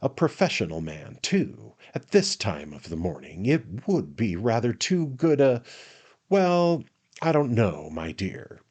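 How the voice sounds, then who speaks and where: A man voicing a pompous, falsely modest attorney who likes a drink, halting and interrupting himself in a comic storybook. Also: on the low side, very animated, a little mellow, husky, pitched above the performer's own voice, exaggerated voice. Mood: self-satisfied. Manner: pompous, halting, self-interrupting, grandly addressing company. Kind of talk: dramatised audiobook reading of a comic Victorian novel.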